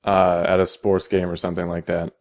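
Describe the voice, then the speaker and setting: A young man with a deep voice, explaining casually in a how-to video, halting with many fillers and restarts. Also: completely flat delivery. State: out of vocal shape. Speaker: vocal tutorial maker.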